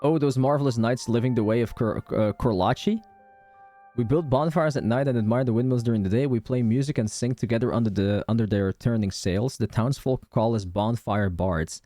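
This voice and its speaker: A man's voice: medium pitch, a little fast, warm tone, dry recording. A man streaming a game playthrough in a casual, informal way, commenting and reading text aloud. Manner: informal, sweary. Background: game music.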